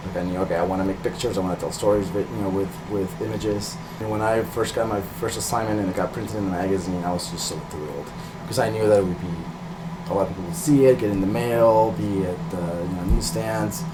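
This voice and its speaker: A man's voice: fairly low pitch, fast talker, background noise. A middle-aged man from Peru talking casually and hesitantly in an interview about himself, thinking aloud in an informal way. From Peru, later California. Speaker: illustrator, interviewee.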